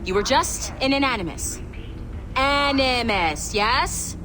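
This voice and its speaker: An actress playing a brisk, sarcastic young security contractor in a video game, bossy and snarky. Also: female voice, high-pitched, expressive, a crowd in the background.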